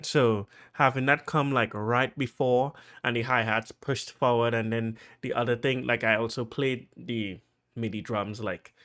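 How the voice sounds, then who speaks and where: A man with a Trinidadian accent casually talking through how he produced a track, chatty and full of fillers, getting excited as he goes. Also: medium pitch, a little slow, slight background noise. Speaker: music producer.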